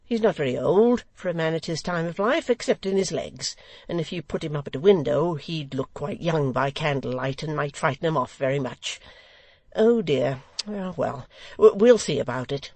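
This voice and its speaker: A woman's voice: low-pitched, lively intonation, moderate pace, a little mellow, no echo. Woman doing a fussy, testy Lord Mayor. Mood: flustered. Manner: fussy, dithering. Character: testy flustered pompous Lord Mayor, male.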